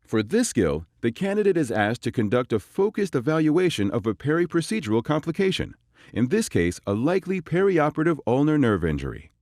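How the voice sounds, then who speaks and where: A man narrating a scripted instructional video in a clear, measured, explanatory way. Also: a little high, big pitch swings, darkish tone, no echo.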